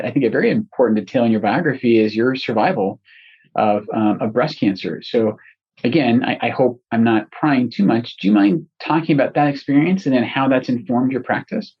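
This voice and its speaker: A man from Scotland hosting a podcast interview, talking in a friendly, halting way with many restarts. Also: medium pitch, slightly rough, dull audio. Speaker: podcast host. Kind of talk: conversational interview.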